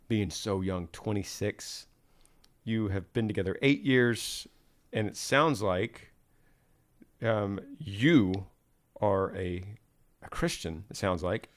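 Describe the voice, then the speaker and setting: A man hosting a podcast, talking in a relaxed way with soft fillers and restarts, and reading listener questions aloud. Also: lowish voice. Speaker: podcast host.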